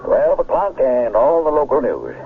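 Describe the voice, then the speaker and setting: Bombastic, animated radio newscaster. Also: male voice, medium pitch, normal speed. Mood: indignant. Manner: bombastic, rhetorical. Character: indignant, rabble-rousing local radio newscaster. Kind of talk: impassioned newscast in 1980 radio drama. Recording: noisy, tinny old radio broadcast recording.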